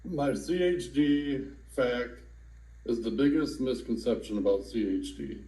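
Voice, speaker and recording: A man in his thirties calmly sharing his own story in a personal testimonial, with some fillers. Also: mid-range voice, echoey room, low-quality recording. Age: 30s. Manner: slow, calm, with fillers. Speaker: adult with congenital heart disease.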